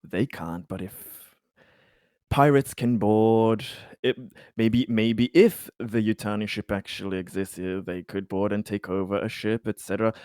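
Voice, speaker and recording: A man with a slightly low voice talks through an in-character tabletop game discussion. He speaks slowly and hesitantly, feeling his way through each phrase. The sound is close and dry. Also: darkish tone, no echo. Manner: hesitant, halting delivery. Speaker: tabletop roleplaying game player.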